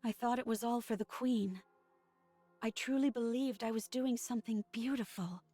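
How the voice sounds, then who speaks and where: A woman acts out a female android soldier from a game drama, high in pitch and subdued. She speaks in measured, earnest lines weighed down by remorse. The voice is close and dry, with music underneath. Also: mellow, no echo, natural voice. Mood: remorseful, haunted. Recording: music behind the voice.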